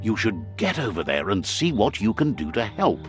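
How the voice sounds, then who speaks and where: A man's voice: on the low side, big pitch swings, normal speed, faint music behind. A man giving scripted narration for a video game, a wry storyteller who relays what the characters say.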